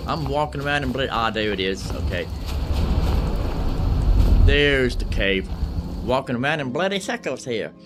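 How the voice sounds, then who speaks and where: A man's voice: mid-range voice, animated, moderate pace, long pauses, over music. A man giving casual, rambling livestream commentary on a game, speaking bluntly and talking back to the characters. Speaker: video game streamer, host.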